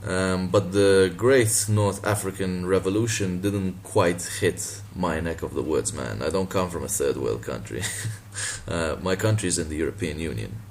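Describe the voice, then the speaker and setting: A man from Malta, a native Maltese speaker, with a deep voice, talking casually and sardonically in a response video, laughing and swearing as he goes. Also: moderate pace, a little hiss or hum. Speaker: boxing channel vlogger.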